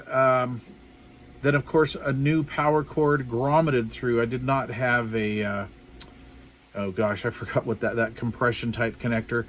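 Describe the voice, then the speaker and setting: A man's voice: medium pitch, a bit bright. A man with a mid-range voice talks through a hobby build in a casual, unscripted way. His manner is folksy and colloquial, with a self-deprecating streak. There is some faint noise behind him in an informal home recording. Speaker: hobbyist showing a DIY radio build. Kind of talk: casual unscripted show-and-tell narration.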